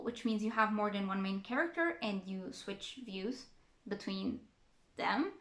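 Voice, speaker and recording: A woman's voice: fairly high pitch, a bit bright, echoey room. A young woman from Portugal, a native Portuguese speaker, chatting casually as a book vlogger and thinking aloud with fillers and restarts. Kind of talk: casual reading-stats chat to camera.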